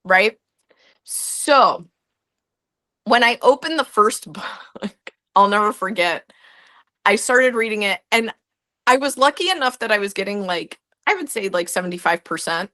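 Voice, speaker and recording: A woman's voice: medium pitch, expressive, measured pace, pauses often, bright, forceful tone. A young woman, a guest historian, answering interview questions in a chatty way full of "like" and restarts.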